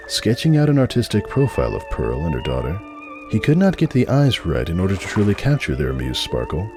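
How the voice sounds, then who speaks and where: A man reading a story aloud as a scripted dramatic narration. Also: deep voice.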